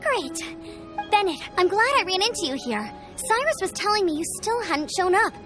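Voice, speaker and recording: A woman voicing a perky, caring, energetic teenage girl scout in a video game, eager and exclamatory. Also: extremely high pitch, moderate pace, exaggerated voice. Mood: eager, relieved. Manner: bright-spirited, exclamatory. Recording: music behind the voice.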